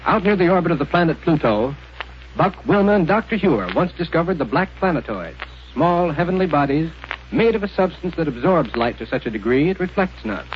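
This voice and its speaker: A man narrating a 1930s radio serial in a measured, dramatic storytelling manner. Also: on the high side. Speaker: announcer and narrator. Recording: dull old radio recording with hiss.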